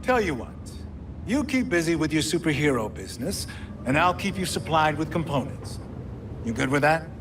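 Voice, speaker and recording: An actor playing an easygoing, helpful tech supplier in a game cutscene, friendly and conversational. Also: male voice, a little high, sing-song, moderate pace, background noise. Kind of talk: video game cutscene acting.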